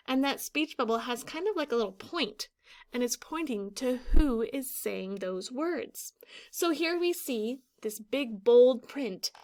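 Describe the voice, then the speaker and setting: A woman in her thirties, a teacher explaining a children's story with deliberate enunciation. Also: on the high side. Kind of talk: instructional children's read-aloud. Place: small room indoors, classroom or office decor.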